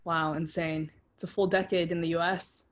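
A woman's voice: fairly low pitch, flat delivery, normal speed. A woman in her twenties, host of a podcast, reading a scripted intro and interviewing a guest in an upbeat way. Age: 20s.

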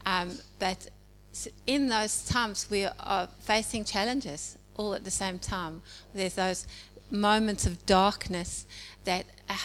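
A South African woman in her forties giving an informal church message, talking in a conversational way with many pauses and ums. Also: medium pitch, fairly even intonation, a bit bright. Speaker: church speaker. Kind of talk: informal sermon. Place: church hall. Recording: hall reverb with faint hum.

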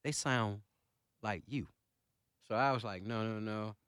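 Soft-spoken, hesitant man. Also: lowish voice, unhurried, clear, resonant tone, dry recording, clean studio sound. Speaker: interview guest, singer.

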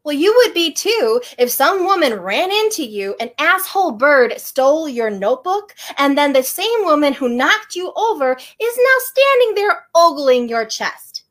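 A woman reading aloud in a lively voice as a grumpy, flustered young male programmer who sputters in exasperation. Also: high-pitched, unhurried, hardly any pauses, full character voice.

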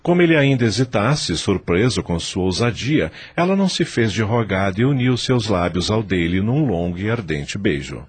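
Deep expressive male narrator. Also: normal speed, hardly any pauses, slightly rough. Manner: expressive storytelling. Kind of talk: scripted radio drama narration.

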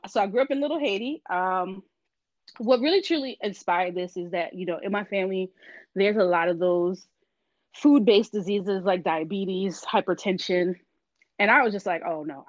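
A woman from Miami, Florida speaks as a podcast guest, relaxed and easygoing with plenty of ums. Her voice comes through a dull, muffled line, and the sound is dry, with no room around it. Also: mid-range voice, normal speed, dry recording. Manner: relaxed, frequent ums and laughs. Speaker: guest, vegan business owner. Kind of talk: casual interview chat.

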